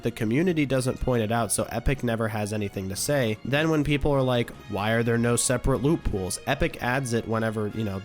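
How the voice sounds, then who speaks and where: Raspy young man ranting about games. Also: medium pitch. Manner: ranting, blunt, casual with swearing. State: raspy from illness. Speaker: gaming YouTuber. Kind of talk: opinionated commentary vlog.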